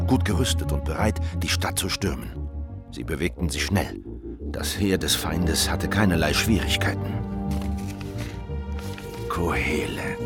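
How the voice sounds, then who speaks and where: An actor playing a brooding former revolutionary who looks back in grave, deliberate, clipped phrases as narrator of an audio drama. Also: male voice, a bass voice, vocal fry, exaggerated voice. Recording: loud music under the voice.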